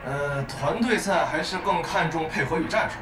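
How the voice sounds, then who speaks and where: A man's voice: fairly high pitch, normal speed. A man playing an assured esports analyst in an audio drama, explaining matches conversationally as a broadcast over speakers. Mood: analytical. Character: measured male esports analyst, co-commentator. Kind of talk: sports commentary in an audio drama. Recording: echoey, loud music behind.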